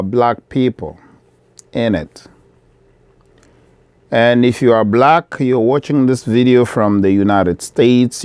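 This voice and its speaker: Middle-aged man from Congo, earnest camera appeal, very unhurried delivery, clear voice, repeats his points. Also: fairly low pitch, a little mellow, no echo. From Democratic Republic of Congo. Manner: earnest, measured, repeating key phrases. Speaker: vlogger, business owner. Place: his shop.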